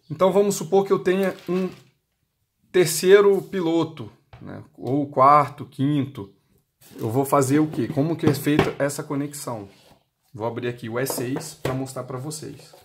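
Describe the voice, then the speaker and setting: A man's voice: on the high side, normal speed, long pauses, noisy background. A man with a Brazilian accent demonstrating a product step by step, explaining by asking and answering his own questions. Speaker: product demonstrator for motorcycle intercom seller.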